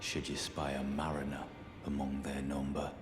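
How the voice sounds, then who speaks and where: An actor playing a stern, grim hunter in a fantasy game, speaking in a solemn, formal and deliberate way. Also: male voice, rumbling low, completely flat delivery. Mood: stern warning. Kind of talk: video game character acting. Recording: music behind the voice.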